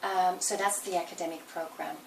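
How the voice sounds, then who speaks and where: A woman in her forties from Belgium, talking in a relaxed interview and thinking aloud with fillers and restarts. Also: lowish voice, brisk, vocal fry. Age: 40s. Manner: thoughtful, with fillers and self-corrections. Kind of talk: casual interview. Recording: roomy, distant mic with faint noise.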